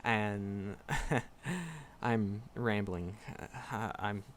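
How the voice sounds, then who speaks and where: A man's voice: fairly low pitch, animated, a little hiss or hum. A man playing a shy, flustered magic professor in a roleplay audio drama, stammering and nervous as he talks to a secret crush. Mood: shy, rambling. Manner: nervous, halting, stammering, rambling. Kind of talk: roleplay audio drama, intimate one-sided dialogue.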